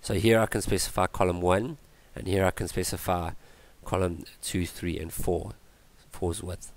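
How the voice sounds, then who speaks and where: A man's voice: low-pitched, steady tone, moderate pace. A man teaching a coding tutorial over a screencast, thinking aloud with fillers and self-corrections. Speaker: tutorial instructor.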